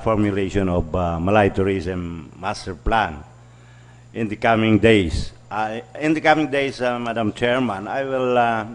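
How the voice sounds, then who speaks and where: A man with a Filipino accent testifying as a mayor at a hearing, speaking formally and hesitantly, with many fillers. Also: on the low side.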